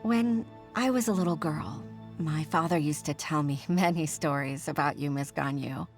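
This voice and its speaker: A modest middle-aged secretary. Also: female voice, low-pitched, moderate pace. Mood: heartfelt. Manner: modest, hesitant, with soft laughs. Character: humble middle-aged woman secretary and mother. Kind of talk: game voice acting. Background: game soundtrack music.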